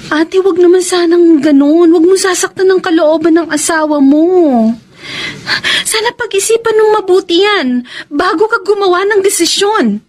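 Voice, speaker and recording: An actress with a Filipino accent playing an earnest, frank younger sister in a radio romance drama. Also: female voice, extremely high pitch, normal speed, darkish tone. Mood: reproachful, pleading. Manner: probing, earnest, reproachful.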